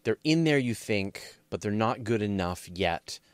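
A man's voice: on the low side, expressive, a little slow, clear, resonant tone, dry recording. A man hosting a casual science interview, thinking aloud informally as he builds long, rambling questions. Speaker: interviewer, host.

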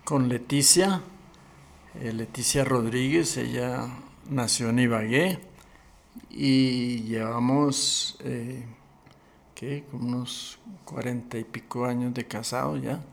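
An older man, sixties or above, telling his story as a pastor and interview guest in a measured, deliberate way with frequent pauses. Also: mid-range voice, steady tone, vocal fry. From Colombia. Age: 60s or older.

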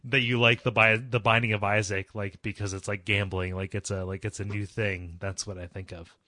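A man with a deep voice, co-hosting a casual podcast chat, laughing often and retelling scenes with acted-out quotes. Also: level tone, brisk, pushed and bright. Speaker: podcast co-host.

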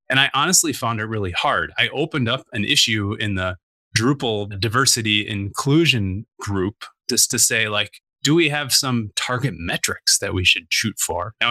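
Man from Minnesota, panel guest, low bright voice, reflective. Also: no room sound. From Minnesota, USA. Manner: reflective, thoughtful, some self-restarts. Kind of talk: conversational panel talk.

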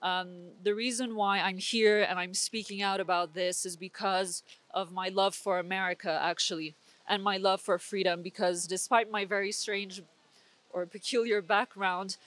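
A woman's voice: medium pitch, steady tone, normal speed, fairly bright tone, no echo. A young woman from Switzerland, speaking as an interview guest with intense, urgent political commentary and frequent restarts. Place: conference venue.

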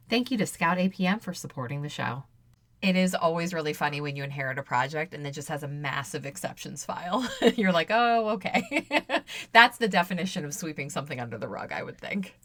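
Low-voiced woman hosting a podcast. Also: fairly bright tone, dry recording. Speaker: podcast host. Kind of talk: conversational interview with sponsor read.

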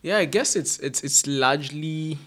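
A man's voice: a little high, moderate pace, fairly bright tone. A man from near Nairobi with a Kenyan accent, telling stories casually as an interview guest in informal, slangy speech. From outskirts of Nairobi, Kenya. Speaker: interview guest, startup founder. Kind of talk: casual conversational storytelling.

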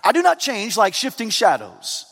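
A man preaching a sermon, swinging from loud excited outbursts to low hushed lines. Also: normal speed, breathy edge. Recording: long reverb of a large hall.

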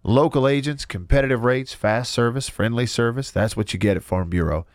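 A man's voice: mid-range voice, fairly quick, dry recording. A man hosting a radio sports talk show in a studio, congested from a cold, easygoing and chuckling, with frequent restarts. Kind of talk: casual radio chat.

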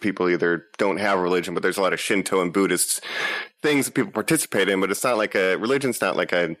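A man's voice: low voice, fast, fairly bright tone. A man in casual podcast chat, laid-back and wry, musing aloud. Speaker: podcast co-host.

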